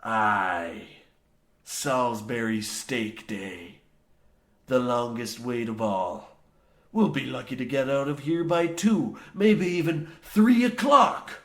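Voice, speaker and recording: A man playing a gruff old coal mine foreman in an old-time radio comedy, blasé and folksy in archaic dialect. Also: medium pitch, slow pace. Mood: grim, folksy. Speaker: radio play actor.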